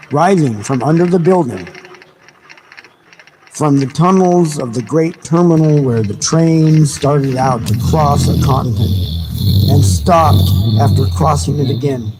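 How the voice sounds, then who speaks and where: A man reading a novel aloud in a dramatic, deliberate, measured style with pauses. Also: a little high, darkish tone. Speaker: audiobook reader. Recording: noisy recording with background ambience. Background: background ambience sounds.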